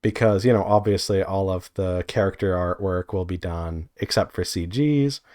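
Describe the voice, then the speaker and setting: Male indie creator, lowish voice. Casual vlog delivery, unscripted and hesitant, self-correcting, thinking out loud. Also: moderate pace. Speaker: indie visual novel developer giving updates. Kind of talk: casual rambling vlog update.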